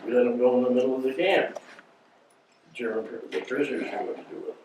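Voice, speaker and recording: An elderly American man gives an informal talk as a veteran, recalling things plainly and haltingly. He sounds distant from the mic in an echoey room with faint noise. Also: medium pitch, a little mellow. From United States. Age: 90s. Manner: halting, plain-spoken storytelling with pauses. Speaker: war veteran, guest speaker. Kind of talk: informal talk, reminiscing to an audience. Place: indoor meeting room before an audience.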